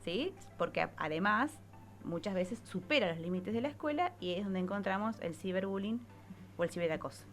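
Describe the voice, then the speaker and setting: A woman's voice: medium pitch, expressive, fairly quick, faint music behind. A woman with an Argentine accent, a psychologist columnist explaining things on radio and often checking in with short tag questions.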